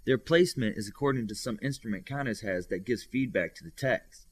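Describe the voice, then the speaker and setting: A man's voice: mid-range voice, a little fast, lightly acted. A man voicing a young telepathic superhero girl who explains and reports things to her friends in an audiobook. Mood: informative. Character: telepathic superhero girl, informative, attentive student.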